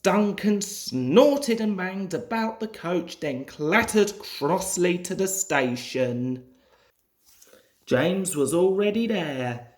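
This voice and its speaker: Animated low-voiced woman reading a story. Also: slow pace. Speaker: storybook reader. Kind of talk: scripted children's story narration with character voices. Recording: roomy, echoing home recording.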